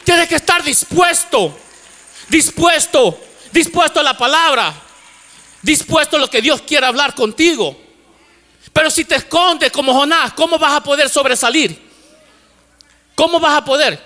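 A middle-aged man preaching with a strikingly high, bright voice into a handheld mic. His words ring out with a long reverb across a large church auditorium. He repeats key phrases with fervor and drops in English words as a native English speaker. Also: a little slow, pauses often. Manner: fervent preaching with repetition, mixing in English words. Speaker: pastor. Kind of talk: sermon. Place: large church stage with lights. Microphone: handheld microphone.

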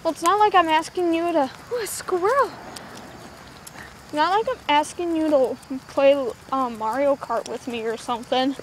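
A woman's voice: sits high, moderate pace, low-quality recording. A teenage girl chatting casually and rambling as she vlogs on a walk home, filming with a phone at arm's length. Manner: chatty, rambling, giggly asides. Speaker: vlogger. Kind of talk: casual walking vlog. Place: outdoors on a suburban road. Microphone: phone camera held at arm's length. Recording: phone audio with clear outdoor noise.

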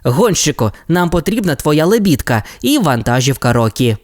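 A woman voicing a calm young boy who leads a team of rescue pups, reassuring and commanding. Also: low voice, normal speed, no echo, clean studio sound, voice pushed up.

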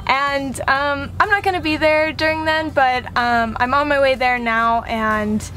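High-voiced young woman vlogging. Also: steady tone, a little slow, pushed and bright. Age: young adult. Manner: chatty, informal. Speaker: trucker vlogger, host. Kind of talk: casual vlog chat to camera. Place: inside a parked semi truck cab.

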